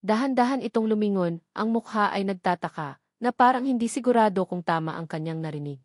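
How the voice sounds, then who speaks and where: A woman reading a scripted dramatic story in measured, deliberate short phrases. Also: medium pitch, expressive, no echo, clean studio sound. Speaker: audiobook narrator.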